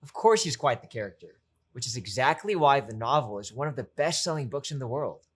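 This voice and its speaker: A man's voice: mid-range voice, expressive, fairly quick, slightly rough. A man presenting a channel outro in a conversational, promotional style.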